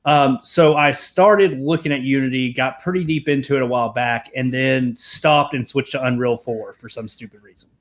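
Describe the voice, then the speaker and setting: A man's voice: medium pitch, steady tone, normal speed, few pauses. A young man from Tennessee with a Southern accent, a programmer talking as a podcast guest in casual, rambling, self-deprecating chat with casual swearing. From American South, Tennessee.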